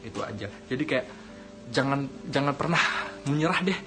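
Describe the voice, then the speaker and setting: A man's voice: medium pitch, lively intonation, moderate pace, fairly bright tone. A young man from Aceh talking casually to viewers, hesitant with many fillers and restarts, slipping into English phrases. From Aceh, Indonesia. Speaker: testimony speaker. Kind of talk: casual motivational monologue to viewers. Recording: music playing behind.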